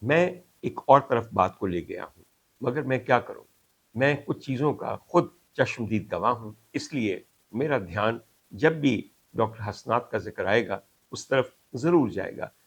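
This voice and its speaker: A man's voice: medium pitch, animated, a little slow, slightly rough. A middle-aged or older man with a Pakistani accent, telling stories to viewers in a courtly, rhetorical, dramatic way as a host. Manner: rhetorical, courtly and dramatic phrasing, switches into English and Punjabi. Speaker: host, doctor. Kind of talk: storytelling monologue to viewers.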